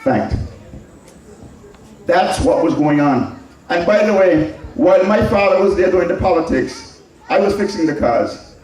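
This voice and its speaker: A man's voice: high voice, lively intonation, a little slow, long pauses, a little mellow. A middle-aged man with an Anguillian accent giving an emphatic public speech, repeating key phrases for effect. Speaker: public speaker. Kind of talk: public address with personal reminiscence. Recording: very echoey, distant from the mic.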